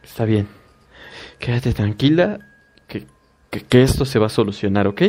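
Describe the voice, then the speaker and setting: A man's voice: on the low side, lively intonation, normal speed, darkish tone. A man voicing a worried mother who speaks firmly and soothingly to her daughter in a horror story. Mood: urgent. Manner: soothing, firm, reassuring. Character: worried middle-aged mother, calming.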